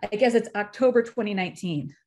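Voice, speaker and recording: A woman's voice: mid-range voice, fairly quick, warm tone. A woman guest on a podcast interview, explaining things methodically and in detail, step by step.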